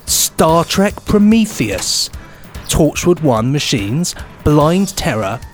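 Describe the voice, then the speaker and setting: A man's voice: on the high side, sing-song, takes it slowly, soft background music. A man chatting casually and reading news headlines as a jokey podcast co-host.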